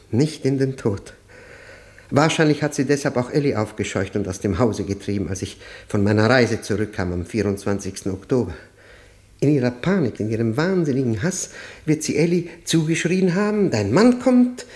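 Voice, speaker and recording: An actor playing a tormented, bitter man of forty-five, haunted by guilt, halting and brooding in a German radio play. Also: mid-range voice, a little mellow, lightly acted.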